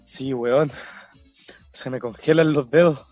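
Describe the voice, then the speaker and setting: A man's voice: mid-range voice, steady tone, takes it slowly, a little mellow, no room sound, faint music behind. A man playing a timid but bantering young Chilean small-town man with slangy, laid-back talk in a radio drama. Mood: uneasy. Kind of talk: naturalistic radio drama acting.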